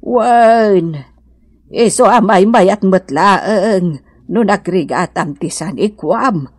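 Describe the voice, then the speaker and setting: A woman's voice: mid-range voice, slow, no room sound, clean studio sound. An actress playing a principled, moralizing mother in a radio drama, with a northern Philippine accent. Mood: earnest, moralizing. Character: plain, principled mother who values honest hard work. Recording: music behind the voice.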